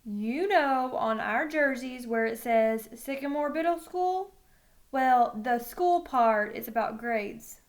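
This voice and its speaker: A woman's voice: sits high, takes it slowly. Woman playing a blunt, stern football coach. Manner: flat, firm. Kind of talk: children's novel read aloud.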